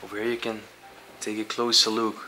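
Young male explorer, lowish bright voice, curious narration, faint hiss from a handheld camera mic. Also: level tone, a little fast. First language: Dutch. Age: young adult. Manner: curious, narrates what he sees, short laughs. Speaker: urban explorer. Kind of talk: casual exploring vlog. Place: dark abandoned indoor aquarium.

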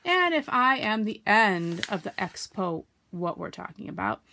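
Old male donkey for a storybook, woman performer: slow, creaky, morose, forever resigned and grumbling. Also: mid-range voice, big pitch swings, bright, forceful tone, slight background noise, exaggerated voice. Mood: glum, resigned. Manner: slow, plodding, resigned complaining.

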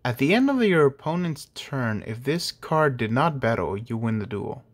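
A man opening trading card packs at home, keeping his voice down so he won't wake others, with a slightly dry voice, reading each card name aloud in a casual, hushed way. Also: mid-range voice, sing-song, moderate pace. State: voice a bit off, dry throat. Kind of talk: casual pack-opening commentary. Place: indoors at a table at night.

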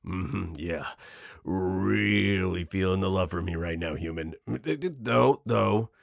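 A man playing a cocky, sarcastic werewolf outlaw in an audio roleplay, mocking and flippant with gravelly, hesitant asides. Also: deep voice, slow, no room sound, exaggerated voice. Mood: nervous, sarcastic.

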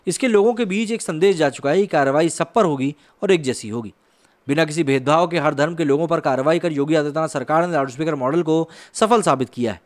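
A man in his thirties from India presenting explanatory news commentary as a host, speaking into a close studio microphone. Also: on the high side. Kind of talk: news explainer commentary, part conversational, part scripted narration. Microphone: close desk microphone on a boom arm.

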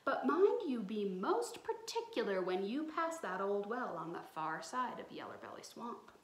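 A woman's voice: mid-range voice, sing-song, normal speed, natural voice. A woman voicing a caring, bossy country mother in a storybook, giving firm, folksy instructions. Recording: roomy echo, some background noise.

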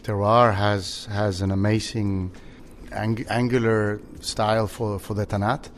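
Chilean man, halting casual talk. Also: lowish voice, flat delivery, a little hiss or hum. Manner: halting, many restarts. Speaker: winery general manager. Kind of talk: casual conversation.

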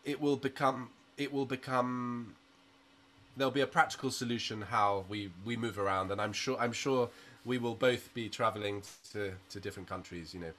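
A man's voice: medium pitch, fairly even intonation, moderate pace, fairly bright tone, slight background noise. A man in his thirties from Britain, a musician guest on a video-call interview, talking in an earnest, outspoken way from a small attic room. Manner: earnest and outspoken, with you-knows. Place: small home room under a sloped roof. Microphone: laptop webcam on a video call.

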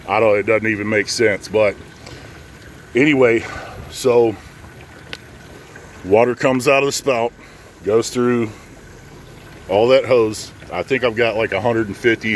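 A middle-aged American man giving an informal, plain-spoken, unscripted how-to talk, filming himself outdoors on a handheld phone. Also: fairly low pitch. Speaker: pressure washing business owner and channel host. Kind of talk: casual how-to vlog, unscripted. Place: outdoors in a residential driveway. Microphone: handheld phone at arm's length. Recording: outdoor phone audio with light background noise.

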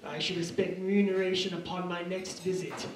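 Haughty Victorian creditor man's stand-up impression: high, formal, slowly menacing, heard from a distance. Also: fairly even intonation, close to an everyday voice. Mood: pompous menace. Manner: pompous, formal, slow menace. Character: haughty, stern Victorian London gentleman creditor. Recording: roomy club sound, distant, audience noise.

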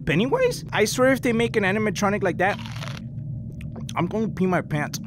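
Frantic high-voiced young man yelling. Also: huge pitch swings, normal speed, background noise. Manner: panicky, yelling, switching between English and Spanish. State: losing his voice. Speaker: VR gaming YouTuber. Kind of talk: loud, frantic gameplay commentary. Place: indoor room, in front of a green screen.

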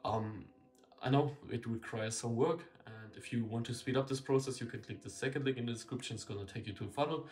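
A man's voice: medium pitch, a little fast, darkish tone. A young man talking to camera in a small room, rambling and blunt, full of fillers and self-corrections. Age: young adult. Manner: candid and blunt, casual swearing. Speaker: marketing consultant. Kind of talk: casual talking-head video. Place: small indoor room with a window.

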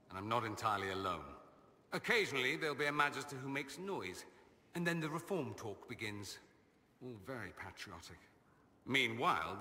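Game companion: a sardonic, self-amused mage noble, crisp bright voice, lively pitch, reverb tail. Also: male voice. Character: witty, vain male mage nobleman, wry and worldly. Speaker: companion character. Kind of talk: video game dialogue.

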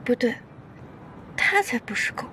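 A woman in her twenties playing a spirited, cajoling young employee in a TV drama, expressive and chatty, quick to grumble. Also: a little hiss or hum. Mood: indignant. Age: 20s. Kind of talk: naturalistic TV drama acting.

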